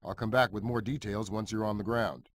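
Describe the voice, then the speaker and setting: An actor playing a brisk, matter-of-fact intelligence commander giving a mission briefing in a video game. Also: male voice, on the low side, steady tone, a little hiss or hum, natural voice. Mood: businesslike. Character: businesslike male intelligence commander briefing an agent.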